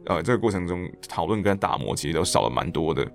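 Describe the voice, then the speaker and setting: A man's voice: low-pitched, quick pace, gravelly, faint music behind. A man with a Taiwanese Mandarin accent telling anecdotes as a guest in a casual podcast interview, acting out bits of dialogue as he goes. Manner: animated anecdotes, acting out quoted lines. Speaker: interview guest, stage actor and director.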